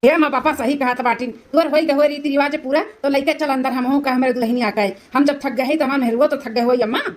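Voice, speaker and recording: A woman's voice: high voice, steady tone, quick pace, natural voice. A woman voicing a good-natured young bridegroom in a cartoon, pleading and insistent, with an Awadhi accent. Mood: eager, concerned. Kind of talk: cartoon voice acting.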